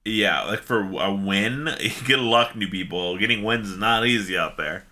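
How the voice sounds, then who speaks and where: A man co-hosting a casual gaming chat, animated and slangy, swearing casually as he talks. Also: lowish voice, moderate pace. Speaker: podcast co-host.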